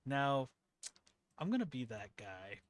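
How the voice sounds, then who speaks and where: A man's voice: mid-range voice, big pitch swings, slow, no echo. A man running a tabletop game as game master, chatting casually and laughing often between his words. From Philippines heritage.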